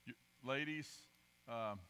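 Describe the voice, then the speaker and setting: An older man preaching a rambling, self-deprecating storytelling sermon at a podium microphone in a church sanctuary, swinging between loud emphasis and quiet asides. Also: medium pitch, slow, a little hiss or hum. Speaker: guest preacher. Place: church sanctuary, large indoor room. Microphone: podium microphone, filmed from the pews. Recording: reverberant church hall.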